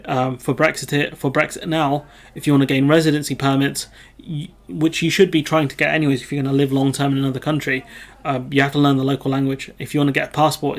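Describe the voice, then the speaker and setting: Flat-voiced British man rambling through news. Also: medium pitch. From United Kingdom. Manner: rambling, self-correcting, frequent ums. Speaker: video host. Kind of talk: casual commentary reading news.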